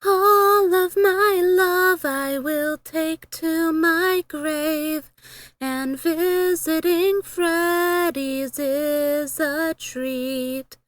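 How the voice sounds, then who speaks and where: A woman sings a simple, lullaby-like song at a very slow pace. Her voice is very high, recorded dry, with faint music. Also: a bit bright, no echo.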